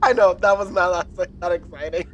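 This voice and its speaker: A woman's voice: fairly low pitch, moderate pace, a little hiss or hum. Playful woman podcast co-host quizzing. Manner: playful quizzing and teasing, laughs often. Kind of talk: casual chat.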